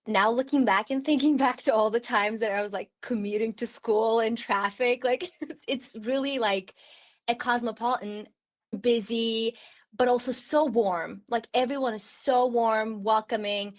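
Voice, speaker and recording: A woman's voice: fairly high pitch, steady tone, moderate pace. A woman from Turkey in her thirties, speaking as a podcast guest. She talks in a loose, chatty way, scattering "like" through her sentences and breaking into easy laughs. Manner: chatty, many "like" fillers, laughs often. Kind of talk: casual conversational storytelling.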